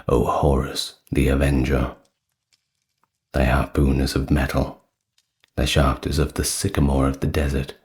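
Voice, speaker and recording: Very deep, slow-talking male ASMR narrator. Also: a little mellow. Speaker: host, narrator of a history and mythology channel. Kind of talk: ASMR storytelling and reading.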